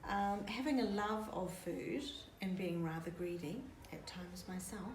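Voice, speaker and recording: Low-ish woman's voice, earnest and steady, kinda echoey. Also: unhurried. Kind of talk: documentary interview. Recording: echoey room, distant mic, faint noise.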